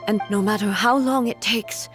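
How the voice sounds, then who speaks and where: A woman playing a reserved, resolute immortal ruler in a video game, speaking in a formal, grave, measured way. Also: medium pitch, lightly acted. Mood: solemn, resolute. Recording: music behind the voice.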